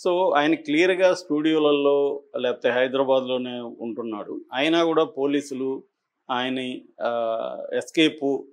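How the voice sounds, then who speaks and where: A man with a Telugu accent giving conversational political commentary as an analyst, explaining in a halting way and mixing in English words. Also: mid-range voice. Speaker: news analyst.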